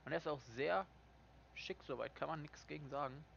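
A man's voice: fairly high pitch, moderate pace, gravelly, highs cut off, a little hiss or hum. Chatty man with a slight cold. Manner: relaxed, chatty, frequent self-corrections and apologies. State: slightly congested with a cold, clears throat. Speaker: gaming channel host. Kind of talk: casual let's-play commentary.

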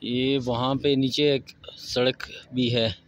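A man with a Pakistani Urdu accent, walking a mountain road and calmly pointing out sights to his viewers. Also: medium pitch, a little hiss or hum. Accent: Pakistani Hindko-area Urdu. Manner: unhurried, pointing things out to viewers. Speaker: travel vlogger. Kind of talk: casual walking tour narration.

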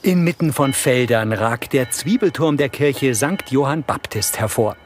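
A man's voice: medium pitch, expressive, measured pace. Male narrator over music. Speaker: audio description narrator. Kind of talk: scripted narration.

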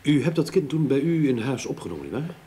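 An actor playing a patient, polite investigator in a radio drama, probing hesitantly. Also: male voice, medium pitch, big pitch swings, fairly quick, mellow, lightly acted. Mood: tactful. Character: patient, tactful male investigator questioning a client. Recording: noisy old radio play recording.

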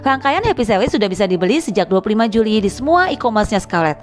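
A woman's voice: medium pitch, sing-song, very fast, faint music behind. A woman doing a casual, chatty vlog voice-over, addressing viewers as friends. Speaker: vlogger and narrator.

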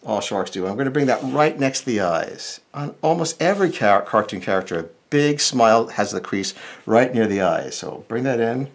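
A man's voice: mid-range voice, lively intonation, moderate pace. A middle-aged American man giving a casual step-by-step drawing lesson, thinking aloud informally as he works. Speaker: cartoonist, drawing instructor.

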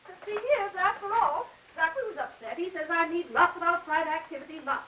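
An actress playing a chatty, fussy, well-meaning middle-aged aunt in a 1950s Hollywood melodrama. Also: female voice, extremely high pitch, fairly quick, background noise. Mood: indignant, chatty. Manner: talkative, fussing, gossipy. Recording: old 1950s film soundtrack.

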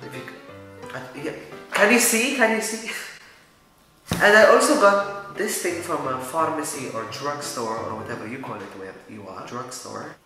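Teen boy, Arabic accent, rambling and goofy, boomy echoing room, music behind. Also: animated, normal speed. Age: teens. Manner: goofy, rambling, self-mocking. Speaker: YouTuber. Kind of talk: casual DIY vlog. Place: small room, indoors. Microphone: camera mic at close range.

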